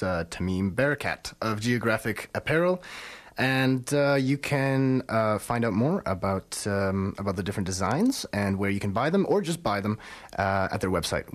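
A man hosting a radio arts show, talking casually and chatting easily with fillers. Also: on the low side. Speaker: radio host.

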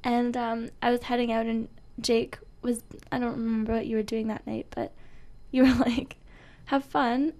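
High-voiced, creaky woman chatting on radio. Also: little pitch movement, moderate pace, a little mellow. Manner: giggly, joking, loose and unscripted. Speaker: radio show co-host. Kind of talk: casual co-hosted radio chat. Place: radio studio.

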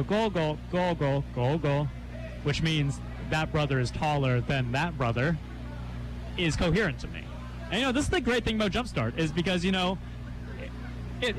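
A young man hosting a live campus radio interview, chatty and quick with asides and laughs. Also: fairly high pitch, rough recording. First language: Cantonese.

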